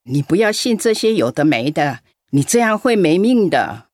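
A woman's voice: on the low side, sing-song, normal speed, darkish tone, no echo, clean studio sound. An actress playing a worried, scolding older female friend in a radio drama. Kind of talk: Mandarin radio drama acting.

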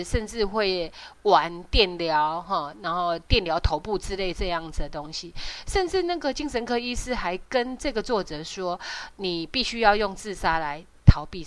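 A woman with a Taiwanese Mandarin accent hosting a podcast, explaining and retelling in an informal, halting way with frequent tag particles. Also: on the low side. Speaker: podcast host.

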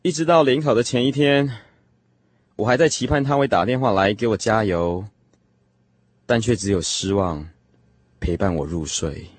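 An actor playing a lovesick young man, telling his lost first love in an expressive, emotional radio drama style. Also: lowish voice, moderate pace, long pauses, dry recording, close to an everyday voice. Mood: resigned sorrow. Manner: expressive, sighing, emotional storytelling. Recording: music playing behind the voice. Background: music.

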